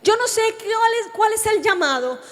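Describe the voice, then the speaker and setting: A woman's voice: very high voice, expressive, normal speed. A woman with a Colombian accent preaching to young people, telling personal stories and addressing her listeners directly. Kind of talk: youth sermon with personal testimony. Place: large reverberant meeting hall. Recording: long hall reverb from a sound system.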